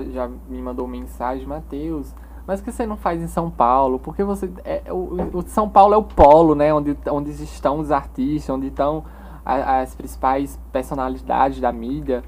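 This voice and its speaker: A man's voice: mid-range voice, darkish tone, a little hiss or hum. A young man from Paraíba with a Northeastern Brazilian accent, a podcast guest who talks proudly and stumbles over his words with many restarts. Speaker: interview guest, page owner. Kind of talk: casual podcast interview.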